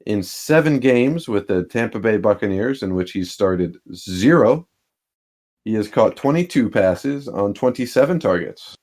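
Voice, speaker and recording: A man's voice: on the low side, lively intonation, measured pace, a little mellow. A man co-hosting a casual sports podcast, laid-back with dry humor and a habit of chuckling as he talks. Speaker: podcast co-host.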